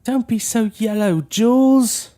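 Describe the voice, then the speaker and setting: An actor playing an excitable, cocky young brother who spooks easily, in an audio drama. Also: male voice, very high-pitched, slow pace.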